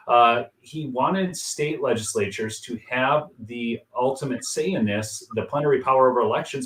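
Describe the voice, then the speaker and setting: A man hosting a political interview, animated and opinionated, jumping in with agreement and his own views. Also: medium pitch. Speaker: interview host. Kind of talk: political talk show interview. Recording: roomy, distant mic.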